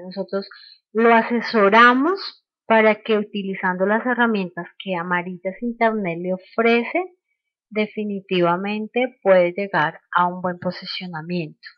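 A woman's voice: mid-range voice, no echo. Woman trainer, dull lo-fi audio. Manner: halting, explanatory, with rhetorical check-in questions. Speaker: sales trainer. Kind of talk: informal training webinar over slides. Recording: dull, lo-fi online call audio.

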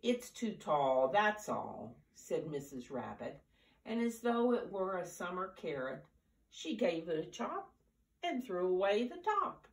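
Older woman reading slowly, very expressively. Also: mid-range voice. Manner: animated, deliberate, drawn-out emphasis for small children. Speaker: storyteller reading to preschoolers. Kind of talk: expressive picture-book read-aloud. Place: living room, indoors. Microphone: camera a few feet away. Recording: roomy, distant camera microphone.

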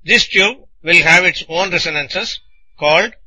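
A man narrating a scripted technical lecture in a deliberate, didactic manner, explaining things in short phrases. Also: high voice, bright, forceful tone, low-quality recording.

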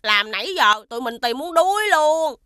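A curious, excitable little cartoon girl with a high, bright voice. Soft music plays beneath her. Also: moderate pace, dry recording, clean studio sound. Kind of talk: cartoon voice acting.

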